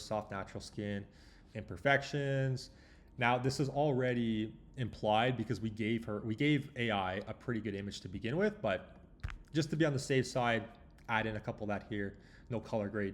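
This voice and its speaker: A man's voice: medium pitch, a little hiss or hum. A man hosting a tutorial, talking informally through a walkthrough and explaining as he thinks aloud. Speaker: tutorial host. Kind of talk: casual screen walkthrough tutorial.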